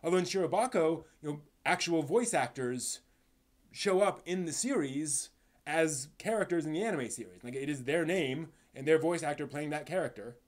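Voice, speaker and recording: A man hosting a live stream, giving casual commentary in a halting, thinking-aloud way with emphatic stress on key words. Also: on the high side, animated.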